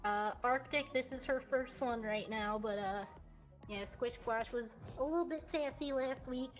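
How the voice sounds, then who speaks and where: A woman's voice: fairly high pitch, measured pace. A woman presenting in a casual, informal way on a livestream from a cat cafe. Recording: dull lo-fi audio, faint music. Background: faint background music.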